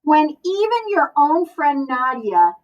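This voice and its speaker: A woman's voice: sits high, sing-song, slow pace, far from the mic, dull audio. A woman reading a picture book aloud as a school librarian, expressively and with asides spoken directly to children.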